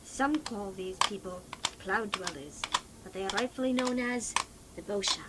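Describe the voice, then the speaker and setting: A woman's voice: medium pitch, moderate pace, heavy background noise. A woman with a posh English accent reading a scripted, theatrical narration on a homemade cassette recording. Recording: homemade cassette tape, music bleeding in.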